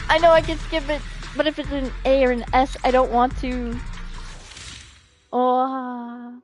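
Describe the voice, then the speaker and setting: A woman chatting casually as she livestreams a game, with a playful, expressive way of talking that readily turns to pleading and exclaiming. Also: sits high, a little slow. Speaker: game streamer. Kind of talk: casual livestream chat over gameplay. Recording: music behind the voice. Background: music.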